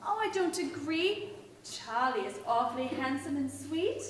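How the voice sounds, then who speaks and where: Woman playing a very high-voiced young heiress. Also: animated, measured pace. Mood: earnest. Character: spirited, sweet-natured young heiress. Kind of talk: staged radio play acting. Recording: echoey hall, distant mics, faint noise.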